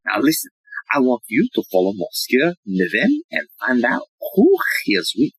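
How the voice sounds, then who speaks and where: A woman's voice: very deep voice, wildly swooping pitch, moderate pace, husky, no echo, exaggerated voice, rough recording. A woman playing an eccentric, self-assured male police inspector in a comic audio play, speaking in a theatrical, earnest way. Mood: earnest, solemn. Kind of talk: audio play comedy acting.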